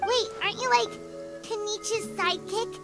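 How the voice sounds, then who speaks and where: A woman voicing a tiny, feisty fairy companion girl with a squeaky, childlike and blunt delivery for an anime game. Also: normal speed, vocal fry, loud music behind the voice. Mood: exasperated. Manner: expressive, blunt.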